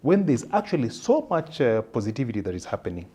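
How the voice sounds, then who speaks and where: A man with a Kenyan accent explaining policy as an interview guest, measured and halting as he searches for words. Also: medium pitch, very animated, dark tone, husky.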